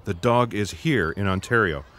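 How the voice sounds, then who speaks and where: A man's voice: fairly low pitch, moderate pace, a bit bright, close to an everyday voice. An actor playing an earnest, literal-minded alien man with formal, precise diction in an audio drama. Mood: matter-of-fact. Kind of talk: scripted audio drama acting.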